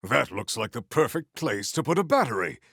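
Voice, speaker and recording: An actor playing a proud, battle-hungry warrior in a video game, with formal, stilted and grandiose diction. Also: male voice, mid-range voice, very animated, fairly quick, creaky, a bit bright, breathy edge, no room sound, clean studio sound, exaggerated voice. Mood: formal, composed. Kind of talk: scripted video game character lines.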